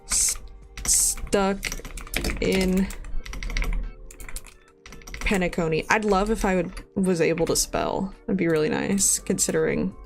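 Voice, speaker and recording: Woman in her 20s, streamer, stuffy and sniffly from a cold; slow, rambling, giggly delivery. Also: on the low side, expressive, creaky, a little hiss or hum. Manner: rambling, laughs often. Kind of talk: casual live-stream chat.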